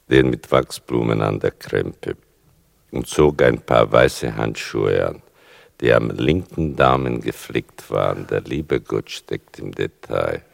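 Very deep, gravelly storytelling son. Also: male voice, dry recording, clean studio sound, exaggerated voice. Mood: wry, measured. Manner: deliberate, wry, dwells on small details. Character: writer son narrating his mother's story, wry, pedantic. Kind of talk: literary radio-play narration.

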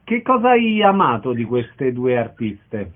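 A man's voice: medium pitch, big pitch swings, unhurried. A man hosting a radio interview, introducing his guest and putting questions. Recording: dull lo-fi radio sound, noisy.

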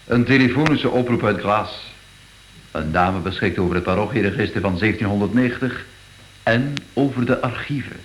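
Actor as a deep-voiced gallery director. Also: male voice, normal speed, slight background noise, close to an everyday voice, rough recording. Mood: courteous, informative. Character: courteous, practical male gallery director. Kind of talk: radio drama acting.

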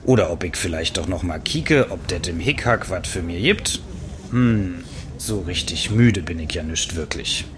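A man acts as a chatty Berlin local musing in a comic radio play. The pitch is low and the accent is plainly Berlin, colloquial throughout. There is clear ambient noise behind the voice. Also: animated, measured pace. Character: chatty Berlin local, musing, wide awake on weekend night.

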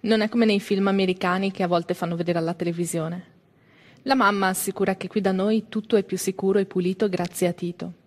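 A woman's voice: medium pitch, steady tone, brisk, darkish tone, natural voice. A woman playing an earnest, naive young girl in a theatrical monologue. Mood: wistful, naive. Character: young girl recalling her small-town childhood, naive and earnest.

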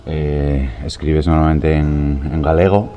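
Very deep-voiced male interviewer. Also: steady tone, takes it slowly, lo-fi sound, a little hiss or hum. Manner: hesitant, repeats words while asking. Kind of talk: radio interview questions.

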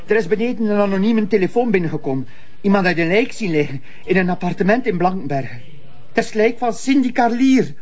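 An actor with a Flemish accent playing an excitable junior policeman in a TV crime drama. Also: sits high, a little fast. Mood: urgent. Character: excitable junior policeman bringing urgent reports. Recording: dull TV soundtrack.

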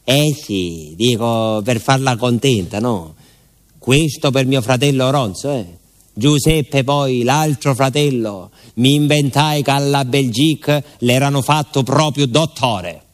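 An actor playing a naive, talkative village postman with a southern Italian accent, telling his story in a confiding, halting radio monologue. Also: mid-range voice, lightly acted. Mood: animated, confiding. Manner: folksy, confiding, with hesitations and repetitions.

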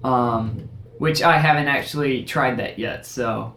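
A young man from Kentucky co-hosting a podcast, talking in a rambling, halting way. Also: medium pitch, creaky, roomy sound, background noise. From Kentucky, USA. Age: young adult. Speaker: podcast co-host. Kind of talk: casual chat.

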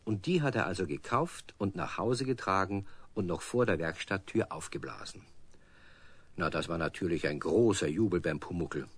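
Low husky storyteller. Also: male voice, lively intonation, normal speed, dry recording. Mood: storytelling. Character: storyteller narrating a children's tale. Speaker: narrator. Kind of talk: scripted storytelling narration.

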